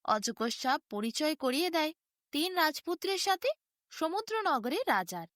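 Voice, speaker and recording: A woman's voice: high voice, sing-song, normal speed, a bit bright, dry recording, studio quality. A woman narrating a fairy tale as a bedtime story, with a sing-song storytelling delivery. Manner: sing-song storytelling with wide rises and falls.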